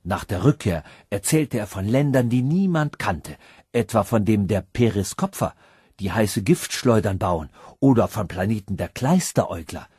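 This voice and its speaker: A man reads a fantastical fairy tale aloud, lifting and sinking his voice for dramatic effect. His tone is somewhat breathy and husky. The recording is close and dry, with no room sound. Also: fairly low pitch, lively intonation, normal speed, a little mellow, dry recording. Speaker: audiobook narrator. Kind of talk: scripted fairy-tale narration.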